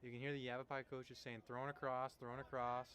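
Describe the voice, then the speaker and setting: A man's voice: mid-range voice, little pitch movement, quick pace, a bit bright. A young man from Canada doing chatty, slangy play-by-play commentary of a baseball game in an informal, jokey way. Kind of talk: casual, chatty live sports commentary. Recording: noisy live ballgame broadcast.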